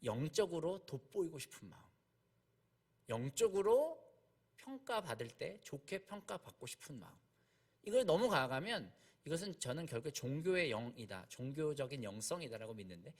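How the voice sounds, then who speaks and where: Higher-voiced, animated man preaching. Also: normal speed, husky. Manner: explanatory, repeats key points, poses rhetorical questions. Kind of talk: conversational expository sermon.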